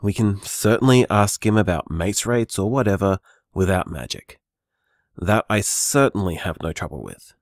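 A man's voice: low-pitched, no room sound, studio quality, exaggerated voice. An actor playing a conscientious, anxious, loving man leaving hesitant, apologetic voicemails in an intimate audio drama. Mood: flustered, apologetic. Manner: hesitant, earnest, sighing.

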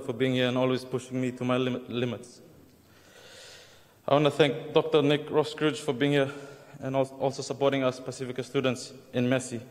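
A young man from Fiji gives an earnest, formal acceptance speech at an awards ceremony, now and then adding a light joke. His delivery stays flat and even. The sound carries the long reverb of a large hall. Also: mid-range voice. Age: young adult. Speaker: award recipient.

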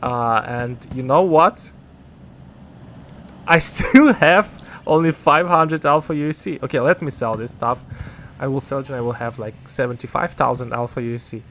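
A man's voice: mid-range voice, normal speed, a bit bright. A man whose first language is Ukrainian, rambling through casual gameplay commentary as a gaming YouTuber, often correcting himself.

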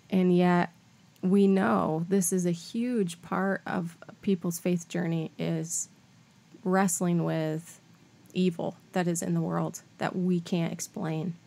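A woman's voice: lowish voice, darkish tone. A woman speaking as a podcast guest on faith, in a thoughtful, conversational way.

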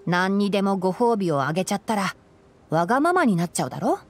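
A woman playing a calm, knowledgeable young male forest ranger in an anime game, explaining things in a composed, gently teasing way. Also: medium pitch, fast, faint music behind. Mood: gently firm. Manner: explanatory, composed, lightly dry. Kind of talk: Japanese anime game voice acting.

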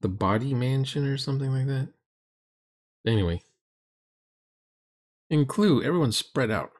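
A man's voice: mid-range voice, animated, unhurried. A middle-aged man giving blunt, mocking commentary as he reacts to a game, in a sarcastic manner. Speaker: host reacting to a recorded roleplaying game.

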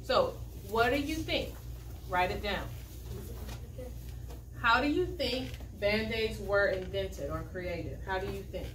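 A woman's voice: mid-range voice, animated, slow pace, pauses often, a bit bright. A young woman teaching in a dry, instructive manner, leading a classroom read-aloud with questions and frequent check-ins. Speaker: primary school teacher. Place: school classroom, indoors. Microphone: camera a few metres away. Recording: echoey classroom, distant mic, background noise.

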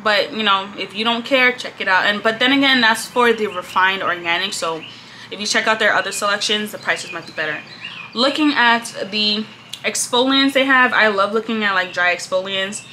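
Young woman, casual vlog chat, bright tone, slightly congested, small room, desk webcam. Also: mid-range voice, normal speed, a little hiss or hum, poor audio. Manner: chatty, laid-back, often trailing off softly. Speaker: vlogger.

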